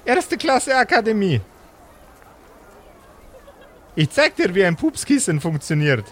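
A man plays a smug wizard rival with a raised, very lively voice. He taunts and mocks, whining theatrically in a fantasy radio play. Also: sits high, moderate pace.

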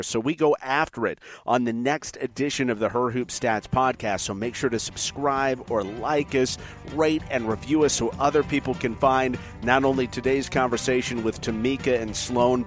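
An enthusiastic male podcast host with a mid-pitched voice. He talks in a chatty way, moving between interview questions and addressing his listeners. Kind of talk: sports interview and show outro.

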